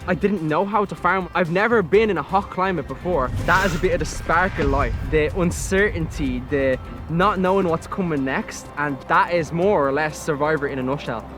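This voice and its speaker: A man's voice: a little high, normal speed, music in the background. A man in his twenties with an Irish accent, talking with cheeky, playful bravado in a cast introduction interview. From Ireland. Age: 20s. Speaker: reality show contestant.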